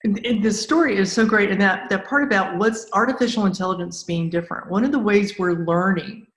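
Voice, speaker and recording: A woman's voice: mid-range voice, brisk, echoey room. A woman hosting a conversational podcast interview, speaking in an effusive, enthusiastic way.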